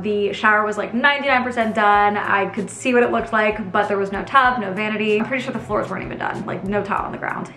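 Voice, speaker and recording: A woman's voice: medium pitch, sharp tone, roomy sound, low-quality recording. A young woman chatting casually as a lifestyle vlogger in her home, laughing often and using lots of fillers. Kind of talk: casual vlog narration. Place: indoors, bright home living room.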